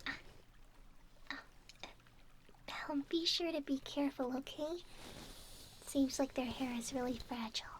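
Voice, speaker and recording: A woman playing a bookish, doting mermaid in an ASMR roleplay, speaking gently and a little formally, often in a whisper. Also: high voice, measured pace, pauses often, slight background noise. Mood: tender, careful. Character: bookish, doting young female mermaid named Nemu.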